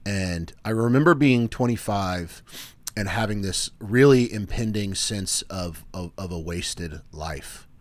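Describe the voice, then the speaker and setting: A man in his thirties hosting a casual podcast chat, often restarting his sentences and trailing off quietly. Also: on the low side, a little slow, dry recording. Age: 30s. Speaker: podcast host.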